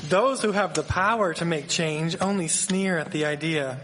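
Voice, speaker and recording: A man's voice: a little high, moderate pace, close to an everyday voice. A man playing an earnest, civic-minded Victorian newspaperman, declaiming in a live radio drama. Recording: echoey hall, noisy with clicks.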